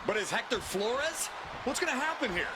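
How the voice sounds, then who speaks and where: A man's voice: very high-pitched, very animated, normal speed. A man playing a skeptical, provocative color commentator on pro wrestling in a video game. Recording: loud arena noise behind the voice. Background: noisy wrestling arena.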